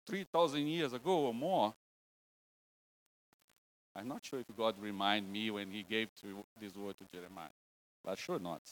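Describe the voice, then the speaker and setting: A male preacher from Brazil speaks with a Brazilian Portuguese accent, delivering a casual church sermon. His English is broken and halting, and he keeps the tone light with jokes at his own expense. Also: on the low side, rough recording. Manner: joking, self-deprecating, broken English. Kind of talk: informal sermon.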